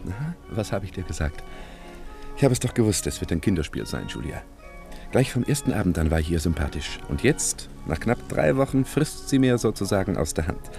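An actor playing a smooth, calculating fortune-hunting man in a German radio play, self-assured and insinuating. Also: low-pitched, very animated, a little fast, music in the background, exaggerated voice. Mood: smug. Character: smooth, cocky, calculating male fortune hunter.

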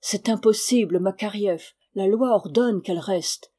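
Woman reading as a sad, husky old village elder. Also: medium pitch, measured pace, dark tone. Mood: resigned. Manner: regretful, firm. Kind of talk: dramatic audiobook character reading.

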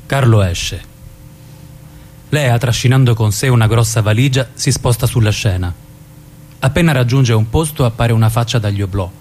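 A man's voice: medium pitch, moderate pace, darkish tone, a little hiss or hum. A man reading scripted stage directions as the narrator of a drama.

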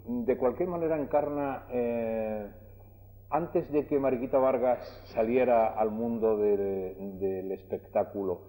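A man's voice: mid-range voice, steady tone, takes it slowly, dark tone. A man interviewing conversationally as a radio presenter. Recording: reverberant radio interview recording.

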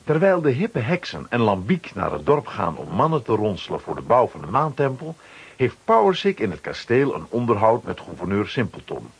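Raspy, animated male storyteller. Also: on the low side, normal speed. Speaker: narrator. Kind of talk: scripted dramatic narration of children's radio play.

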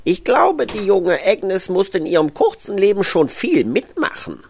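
Eine Frau spielt in einem Hörspiel einen freundlichen alten, aus Polen stammenden Gärtner, großväterlich und gemächlich plaudernd. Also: female voice, mid-range voice, sing-song, gravelly, a little mellow, a little hiss or hum. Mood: sad, reflective. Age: older. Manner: grandfatherly, unhurried, chatty. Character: kindly old Polish-born male gardener, widowed, reminiscing. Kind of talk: scripted audio drama acting.

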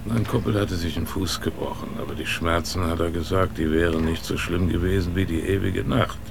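A man acting in a radio drama as a gloomy, grumpy expedition member, brooding and gruff as he talks. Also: low voice, brisk, background noise. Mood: brooding, ominous.

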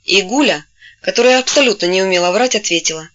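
A woman narrating a novel in a steady storytelling voice, gently taking on the characters' voices when they speak. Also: mid-range voice, fast, dull audio. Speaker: audiobook narrator. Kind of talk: scripted literary narration.

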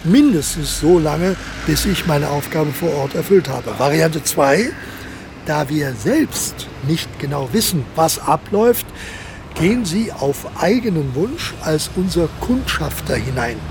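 Curt army colonel with creaky voice. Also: male voice, fairly high pitch, lively intonation, unhurried, background noise. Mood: stern, matter-of-fact. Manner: curt, authoritative, clipped orders. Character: cold, commanding army colonel guarding a quarantine zone. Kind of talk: modern German radio drama acting.

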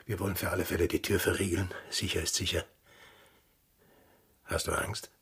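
An actor playing a gruff, plain-spoken sailor in a radio drama, rough-voiced, terse and fatalistic. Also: male voice, low-pitched, flat delivery, normal speed, fairly bright tone, exaggerated voice. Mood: resigned, reassuring. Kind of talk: German-language radio play acting.

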